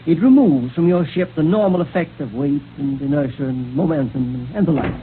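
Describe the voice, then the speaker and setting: Guy doing excitable kindly inventor, stammery, old radio serial, tinny with some hiss. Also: fairly high pitch, a little fast, natural voice. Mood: earnest, proud. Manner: stammering, chuckling, fussy. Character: excitable, kindly male scientist-inventor, proud of his gadgets. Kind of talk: 1930s radio serial acting.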